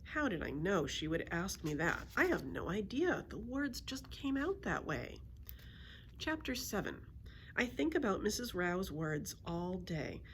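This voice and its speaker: A woman's voice: mid-range voice, big pitch swings, a little slow, a bit bright, slight background noise. A woman reading a children's book aloud as a storyteller, expressively acting out the dialogue.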